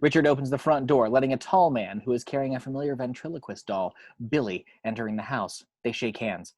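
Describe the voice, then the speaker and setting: A man's voice: medium pitch, a little fast, a little mellow, no room sound. A man narrating screenplay action lines at an amateur table read in a matter-of-fact storytelling way.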